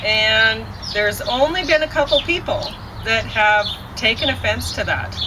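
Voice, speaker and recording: A woman hosting a casual chat, speaking calmly and matter-of-factly. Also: a little high, measured pace, noisy background. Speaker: vlog host.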